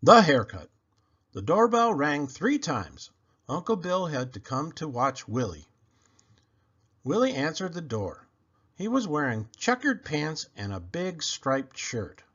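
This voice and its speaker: A man reading a children's picture book aloud in an expressive, animated and deliberate storytelling manner. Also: on the high side, husky, highs cut off.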